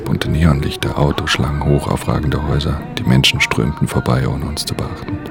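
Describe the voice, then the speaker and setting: A man's voice: level tone, brisk, over music. An actor playing a brooding, guarded pickpocket who narrates his own crime story in a radio drama, terse and subdued. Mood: dark narration. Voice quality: low, gravelly.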